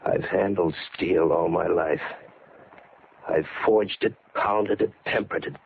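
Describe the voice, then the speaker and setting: An actor playing a haunted, brooding bladesmith with an intense, halting delivery in 1940s radio style. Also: male voice, sits low, creaky, exaggerated voice. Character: haunted, brooding bladesmith unravelling under obsession. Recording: muffled, very noisy old radio recording.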